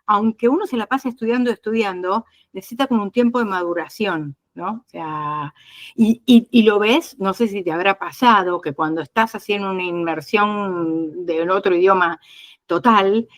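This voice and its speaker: A woman's voice: mid-range voice, moderate pace. A middle-aged woman from Argentina with an Argentine accent, talking casually as an interview guest and explaining at length with many fillers and restarts. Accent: Argentine Spanish. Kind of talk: casual conversation.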